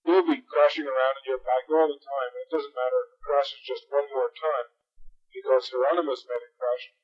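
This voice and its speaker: A man voicing a calm father who reassures his sons. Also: medium pitch, normal speed, natural voice. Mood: reassuring. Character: calm kindly father of two boys. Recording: dull lo-fi recording.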